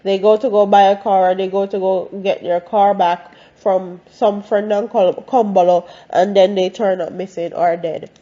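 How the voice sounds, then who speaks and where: A woman's voice: mid-range voice, steady tone, normal speed, few pauses, dark tone, highs cut off. A Jamaican woman, congested from a cold, reads news stories aloud and breaks in with blunt, opinionated comments of her own. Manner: blunt, opinionated asides between read-out reports.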